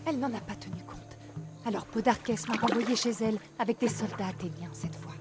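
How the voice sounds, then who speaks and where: Une actrice en mendiante triste, ancienne servante. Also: female voice, a little high, fairly quick, over music, close to an everyday voice. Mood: sorrowful. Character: sorrowful beggar woman, former servant. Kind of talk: dubbed video game acting.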